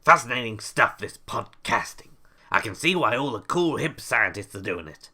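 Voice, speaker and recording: Man acting a pompous scientist, sharp and animated. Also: medium pitch, moderate pace, slight background noise. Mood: self-important. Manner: pompous, musing to himself. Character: eccentric, self-important male scientist, breezily callous. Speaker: in-story podcast presenter. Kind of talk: audio drama monologue.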